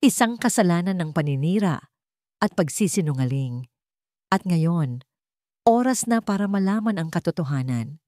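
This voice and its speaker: Woman voicing a cold, commanding billionaire, low-pitched. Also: lively intonation, moderate pace, pauses often, mellow, dry recording, studio quality, lightly acted. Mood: cold accusation. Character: cold, calculating billionaire businessman father exposing lies.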